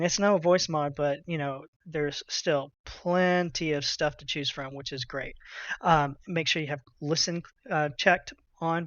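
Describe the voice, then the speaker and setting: A man's voice: on the high side, a bit bright, no echo. Man giving a dull-sounding, dry tech tutorial. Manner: casual, halting, thinking aloud. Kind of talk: informal unscripted software walkthrough. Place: indoors at a computer. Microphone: computer headset mic.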